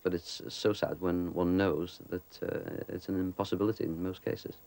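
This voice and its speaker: A man's voice: low voice, fairly even intonation, normal speed, vocal fry, darkish tone. A man who teaches at a school, speaking thoughtfully and hesitantly in a documentary interview.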